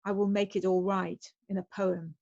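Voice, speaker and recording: A woman reciting a poem in a measured style on a dull, muffled recording. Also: mid-range voice, little pitch movement, creaky, warm tone, no echo. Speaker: amateur poet reading aloud.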